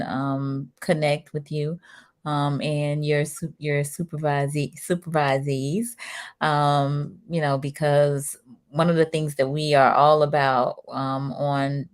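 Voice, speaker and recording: A woman's voice: low voice, level tone, slow pace. A woman hosting a live-streamed interview show, upbeat and greeting viewers by name. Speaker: talk show host.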